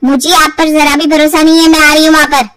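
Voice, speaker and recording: A woman's voice: high-pitched, level tone, fast talker, no echo, studio quality, far from the mic. A woman voicing an accusing, sarcastic, overdramatic married daughter in an acted storybook. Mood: angry, accusing. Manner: sharp-tongued, dramatic, sarcastic. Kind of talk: acted Hindi audio storybook.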